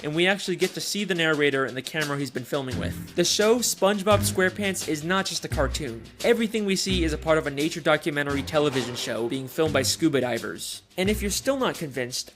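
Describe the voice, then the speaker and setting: Higher-pitched young man narrating over music. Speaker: video essay narrator. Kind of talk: scripted explainer narration.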